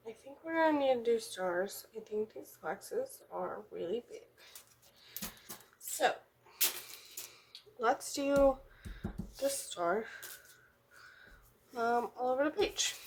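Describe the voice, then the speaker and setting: A woman with a froggy, hoarse voice chatting casually as she decorates a planner, thinking aloud and counting under her breath. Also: a little high, a little mellow, slight background noise. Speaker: planner vlogger. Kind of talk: casual talk while drawing a journal spread.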